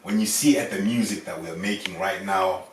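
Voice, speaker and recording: A man talking earnestly in a casual podcast discussion, often adding "you see" and growing loud when challenged. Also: sits low, normal speed. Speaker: podcast panelist. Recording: roomy, distant mic, faint noise.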